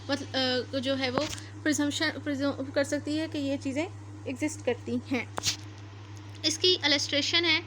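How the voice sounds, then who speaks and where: High, bright-voiced Pakistani woman lecturing. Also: slight background noise. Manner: didactic, repeats and rephrases points. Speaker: law lecturer. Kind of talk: explanatory lecture, reading out statutes and paraphrasing them.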